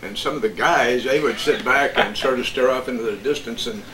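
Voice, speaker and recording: An older man reminiscing casually as an interview guest, halting and hesitant as he goes. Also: medium pitch, sharp tone. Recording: noisy, distant mic.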